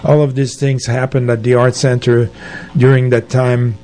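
An older man telling anecdotes as an interview guest, in a halting, conversational way. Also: mid-range voice, level tone, warm tone. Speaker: interview guest, arts organizer. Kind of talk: conversational reminiscing.

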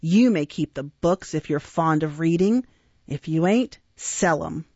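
A woman reading an audiobook, voicing a brutal grown male housebreaker who speaks in a gruff, blunt, menacing way, on a dull, dry recording. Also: low voice, lively intonation, unhurried, a little mellow, dry recording, exaggerated voice.

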